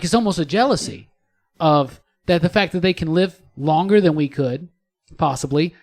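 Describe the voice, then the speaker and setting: High-voiced man hosting a podcast. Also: darkish tone. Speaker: podcast host. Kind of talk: discussion moderating, casual podcast talk.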